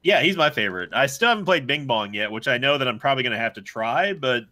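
Bright, animated man gaming with friends. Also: mid-range voice, fast talker, slight background noise. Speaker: gaming group host. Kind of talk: casual gaming commentary with friends.

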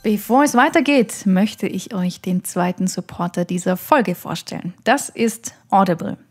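A woman hosting a podcast, introducing her guest and asking direct questions in a lively way. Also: mid-range voice, normal speed, slightly rough, faint music behind. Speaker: podcast host.

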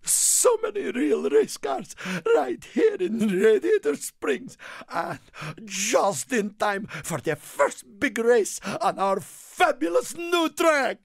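High-pitched, excitable little Italian car. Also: male voice, takes it slowly, gravelly, no room sound, studio quality, heavily acted. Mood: excited, proud. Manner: theatrical, exclamatory, broken English. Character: small excitable Italian car, warm-hearted proud tire seller. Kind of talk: animated video game character lines.

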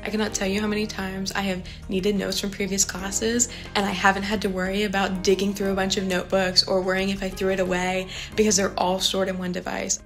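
A young college woman from West Virginia talks in a medium voice with a flat, even intonation. Her delivery is steady, like a prepared testimonial. Faint music sits under her voice. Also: medium pitch, brisk, few pauses. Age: young adult. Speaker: student. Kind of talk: scripted testimonial.

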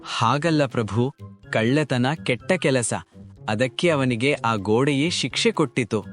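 A man's voice: mid-range voice, measured pace, faint music behind, close to an everyday voice. A man playing a meek rich townsman, deferential and reasoning, in a cartoon folk tale.

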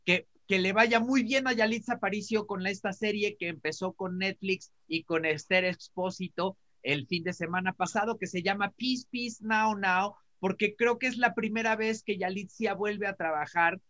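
A man's voice: sits high, steady tone, moderate pace, few pauses, pushed and bright. A middle-aged man with a Mexican accent giving emphatic panel commentary over a video call. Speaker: talk show panelist. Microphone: computer mic on video call. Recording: dull video call audio.

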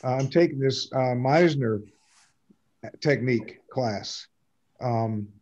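A man's voice: medium pitch, slow pace, a little mellow. An older man, an actor, chatting casually over a video call. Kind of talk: casual chat. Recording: slightly dull video-call audio.

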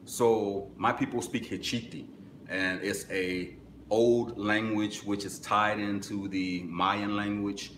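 Deep-voiced man giving blunt music advice. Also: flat delivery, takes it slowly, fairly bright tone, roomy sound, slight background noise. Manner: direct, instructive, answers viewer comments. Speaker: music business webinar host. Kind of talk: live stream Q&A advice. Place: small office with corkboard, indoors.

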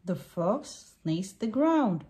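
A woman reads a picture book aloud to young children. She takes it slowly and deliberately, with very lively swings of pitch. There is light background noise and some clicking. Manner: deliberate, short simple sentences.

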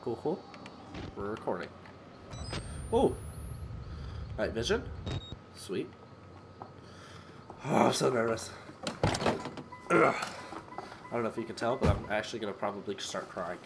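A man's voice: lowish voice, lively intonation, unhurried, vocal fry, long pauses, heavy background noise. Nervous stuffy young man playing horror game. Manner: nervous, jittery, frequent yelps and laughs. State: stuffy, sick. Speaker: gaming channel host. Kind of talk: horror game let's play commentary. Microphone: headset mic. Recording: game music behind voice.

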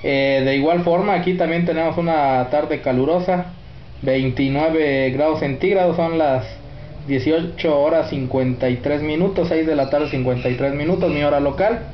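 A Mexican man speaks as a radio operator in a courteous way, his voice a little high. The room rings around him, and the sound is slightly dull. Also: normal speed. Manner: courteous, uses radio codes and sign-offs. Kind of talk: radio contact chat, reporting signal and equipment. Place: indoors at a radio set. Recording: roomy, dull, faint noise.

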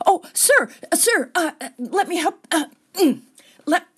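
A woman's voice: very high voice, lively intonation, a little slow, a bit bright, full character voice. A woman playing a perky, unflappable roving reporter in a satirical on-the-scene radio report. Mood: puzzled, concerned. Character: perky, unflappable female radio reporter among talking rhinos.

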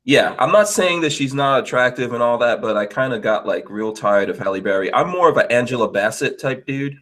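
A man's voice: medium pitch, brisk. A middle-aged man from Guyana hosting a podcast on a headset mic, chatting casually and laughing often as he tells stories. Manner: laughs often, animated storytelling. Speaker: podcast host. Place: home studio, indoors.

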